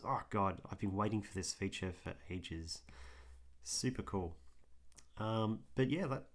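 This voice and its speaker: A hoarse man, chatting casually and thinking aloud while he draws on a livestream, with lots of ums. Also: fairly low pitch. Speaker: artist streaming his drawing.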